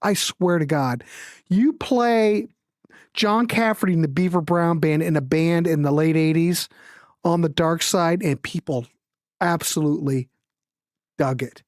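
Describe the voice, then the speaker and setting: A middle-aged or older woman hosting a music show, chatting casually and commenting in an animated, rambling, informal way. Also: on the low side, unhurried, no echo, studio quality.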